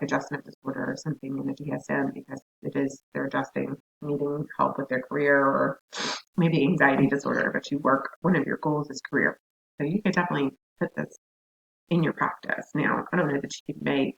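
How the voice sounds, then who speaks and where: A hoarse woman with a scratchy voice, giving a recorded counseling class lecture in a chatty way with asides. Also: low-pitched, dull audio. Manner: chatty, laughs, self-interrupting asides. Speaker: counseling instructor.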